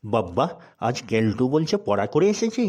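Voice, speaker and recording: A man's voice: lowish voice, big pitch swings, moderate pace, a little mellow. A man voicing a sarcastic, scolding schoolteacher in a cartoon. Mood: exasperated. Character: exasperated middle-aged male village schoolteacher. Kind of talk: cartoon voice acting.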